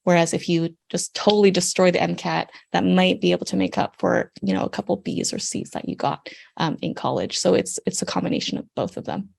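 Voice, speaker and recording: A young woman from Canada answers interview questions in a friendly, explanatory way. Her voice sits low and has a gravelly fry. She often pauses with an "um" as she gives advice. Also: darkish tone. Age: young adult. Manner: friendly and explanatory, with frequent ums. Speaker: guest, surgical intern.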